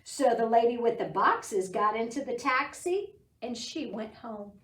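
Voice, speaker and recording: A middle-aged woman hosting a children's storytime, reading aloud and talking to young children in an animated, encouraging way. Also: on the high side, unhurried. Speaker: library storytime host. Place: small indoor library room. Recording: roomy echo, distant microphone.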